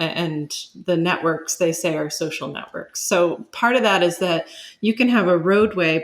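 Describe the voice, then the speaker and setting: A woman speaking as an academic guest, giving explanatory interview answers in measured, searching phrases with asides. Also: fairly low pitch.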